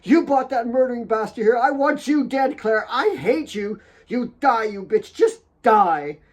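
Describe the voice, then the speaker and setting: A high, shrieking young woman played by a male audiobook narrator rages in hysterical grief. She spits out vengeful curses in loud outbursts, pitched far above an ordinary male voice. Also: expressive, unhurried, heavily acted.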